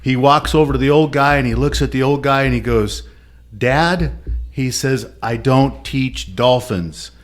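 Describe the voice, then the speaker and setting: A man's voice: mid-range voice, a little slow. A man on a casual podcast telling a long joke and acting out its quotes. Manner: storytelling with quoted voices.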